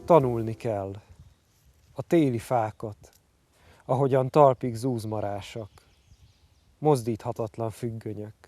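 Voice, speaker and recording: A man's voice: medium pitch, a little slow, long pauses, dark tone, no echo, soft background music. A man reciting poetry.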